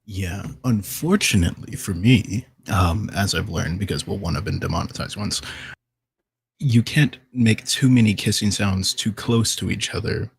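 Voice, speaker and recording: Gravelly, very husky man. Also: fairly low pitch, moderate pace. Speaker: voice actor on a panel. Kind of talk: casual podcast chat.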